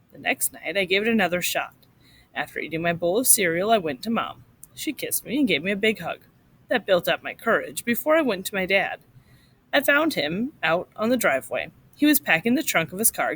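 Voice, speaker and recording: A woman reading a short story aloud to children in an expressive, instructive way, like a teacher. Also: medium pitch, a little hiss or hum.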